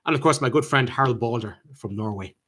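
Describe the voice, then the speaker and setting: An Irish man hosts a live chat and answers his viewers' comments one by one. He talks at a middling pitch for a man and stops often to restart his sentences with plenty of ums. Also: pushed and bright, slightly rough. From Ireland. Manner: halting, with many ums and restarts. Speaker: channel host answering viewer questions.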